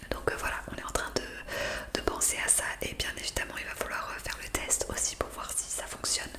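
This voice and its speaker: A woman speaking in a breathy whisper for an ASMR roleplay, explaining things softly with halting hesitations. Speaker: ASMR creator playing a counsellor for new zombies.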